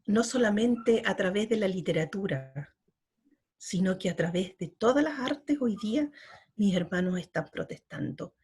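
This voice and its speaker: A middle-aged woman from Chile, a poet being interviewed, speaking slowly and earnestly and often repeating her words. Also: mid-range voice, expressive, darkish tone, slightly rough. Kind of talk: reflective interview talk.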